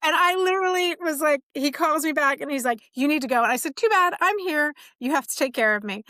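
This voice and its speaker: A woman's voice: high-pitched, fast talker, no echo. Candid middle-aged woman podcast host. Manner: candid, animated retelling with quoted dialogue. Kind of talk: casual conversational storytelling.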